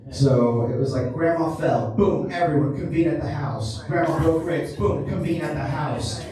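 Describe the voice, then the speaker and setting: A man's voice: on the high side, moderate pace, dark tone. A man doing a stand-up routine in a small theater, telling a long story in a loose, rambling way. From Cuban family. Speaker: stand-up comedian. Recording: echoey room from far off.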